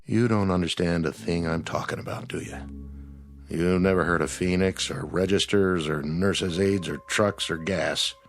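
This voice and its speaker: A man's voice: deep voice, steady tone, normal speed. An actor playing a friendly, puzzled, plain-spoken man who runs a roadside diner, in a radio drama with music behind. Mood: puzzled, probing. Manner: folksy, easygoing, plain-spoken.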